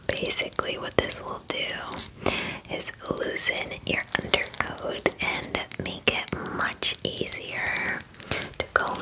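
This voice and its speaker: A woman in her twenties whispers softly and gently in a chatty, unhurried ASMR personal-attention roleplay, close to the microphone. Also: low-quality recording. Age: 20s. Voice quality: soft, breathy whisper. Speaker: ASMR performer playing a werewolf spa groomer. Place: small indoor room.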